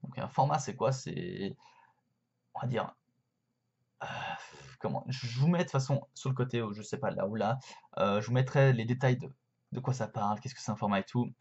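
A man's voice: medium pitch, normal speed, gravelly, pauses often, darkish tone, slightly rough, dull audio. A young man in his twenties chatting casually to a webcam from his bedroom, explaining a card game with lots of hesitations and fillers. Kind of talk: casual explainer vlog to camera. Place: bedroom with shelves, indoors.

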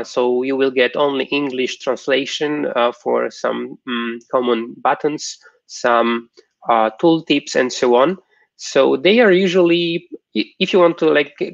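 A young man, a software developer, giving an informal technical presentation online in a halting, explanatory way. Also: medium pitch, vocal fry, no room sound. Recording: dull, lo-fi online stream audio.

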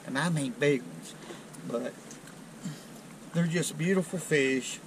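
A middle-aged man, chatting to viewers in a folksy, excited way while fishing from a riverbank, recorded on a chest-mounted camera outdoors. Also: fairly high pitch, takes it slowly, noisy background. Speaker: fishing video host. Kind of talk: casual outdoor commentary. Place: outdoors on a rocky riverbank below a dam. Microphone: chest-mounted action camera.

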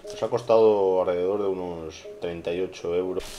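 A man with an accent from Spain narrating a casual unboxing review in an informal way, pausing and repeating himself. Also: sits low, steady tone, muffled recording. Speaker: video reviewer. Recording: music behind the voice. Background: background music.

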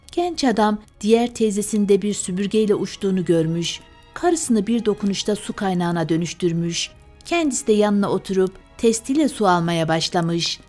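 A woman's voice: medium pitch, darkish tone. Woman, bedtime tale narrator, scripted storytelling, music behind. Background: music and fire sounds.

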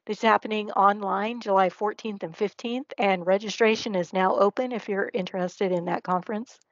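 A woman's voice: mid-range voice, fairly even intonation, moderate pace, no echo. A woman presenter giving a slide presentation and answering questions over a video call. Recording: slightly dull online meeting audio.

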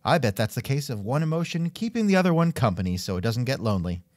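Male podcast host, wry and jokey, animated intonation, mid-range voice, scripted comic interview. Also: medium pitch, a little fast, no echo, studio quality. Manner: wry, joking.

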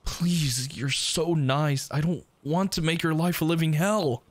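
Man acting desperate lovesick yandere, halting and pleading. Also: on the high side, no echo, voice pushed up. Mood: panicked, pleading. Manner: halting, stammering, pleading, breathy sighs. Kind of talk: intimate ASMR roleplay.

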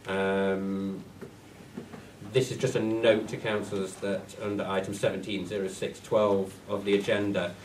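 A man's voice: sits low, measured pace, distant mic. A man with a cold, sounding bunged up, reading a clerk's report to a council meeting. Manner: plain, steady reading of figures, with asides. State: congested with a cold. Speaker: parish clerk. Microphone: far room mic. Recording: echoey hall, voice off mic, faint hiss.

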